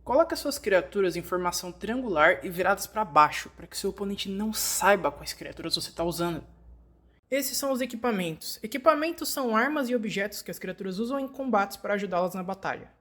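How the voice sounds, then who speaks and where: A woman's voice: medium pitch, animated, brisk, a bit bright. A woman with a Brazilian accent reads scripted instructional narration, explaining things step by step as in a fan-dubbed tutorial.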